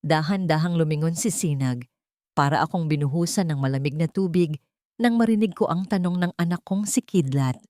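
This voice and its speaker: Low-voiced woman narrating a drama. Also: brisk, no room sound, clean studio sound. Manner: dramatic, expressive storytelling. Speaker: storyteller. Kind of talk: scripted audio-drama narration.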